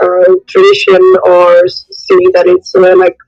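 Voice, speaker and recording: A woman from Somalia giving her opinion as a caller, with halting, hesitant phrasing. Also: lowish voice, completely flat delivery, darkish tone. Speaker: caller in a live audio discussion. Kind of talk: impromptu spoken opinion. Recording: dull lo-fi radio-like call audio.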